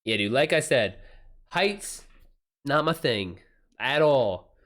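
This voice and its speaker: A man's voice: medium pitch, expressive, takes it slowly, a bit bright. A man hosting a livestream, chatting casually with viewers in loose slang and swearing freely. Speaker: livestream host.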